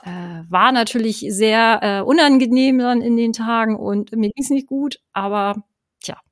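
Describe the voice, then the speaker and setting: A woman's voice: on the high side, unhurried, no room sound, studio quality. A middle-aged woman talking in an interview as a guest, chatty, with many fillers and tag questions. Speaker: guest, online course creator. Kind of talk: conversational interview.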